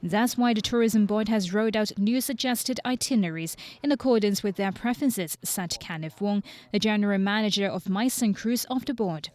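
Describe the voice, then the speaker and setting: Female TV news reporter, medium pitch, scripted narration for a broadcast package. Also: normal speed, few pauses, darkish tone.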